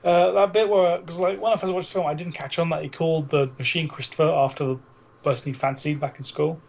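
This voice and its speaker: A man's voice: on the high side, steady tone, quick pace, gravelly, darkish tone. A man co-hosting a casual podcast, with sweary, irreverent banter. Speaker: podcast co-host.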